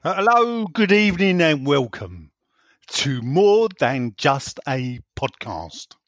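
A man's voice: fairly high pitch, sing-song, slow pace, no echo, soft background music. Jokey man hosting a podcast. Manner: banters, teasing, stop-start with many fillers. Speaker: podcast host standing in. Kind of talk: casual chat, jokey.

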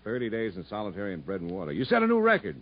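A man playing a blunt, commanding prison camp captain in a 1950s radio drama, unyielding and husky-voiced. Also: lowish voice, wildly swooping pitch, rapid-fire, full character voice. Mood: scolding. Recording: muffled vintage radio broadcast.

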